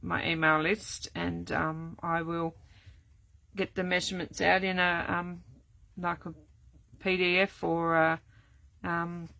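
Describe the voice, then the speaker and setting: An older woman, chatting casually through a live card-making demo, rambling with many ums and restarts, her voice going croaky. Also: on the low side, little pitch movement, slow, pushed and bright, no echo. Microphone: camera set up at desk.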